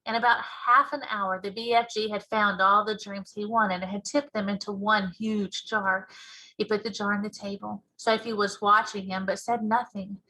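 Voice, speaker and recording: A woman's voice: medium pitch, little pitch movement, normal speed, pushed and bright, low-quality recording. A woman narrating a storybook as a teacher reading aloud.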